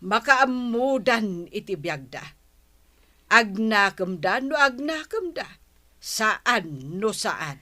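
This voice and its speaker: A woman's voice: medium pitch, big pitch swings, slow, pushed and bright, full character voice. A woman playing a fed-up, scornful middle-aged mother, blunt and exasperated, in an audio drama. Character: fed-up, scornful mother washing her hands of her son. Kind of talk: Ilocano radio-style audio drama acting.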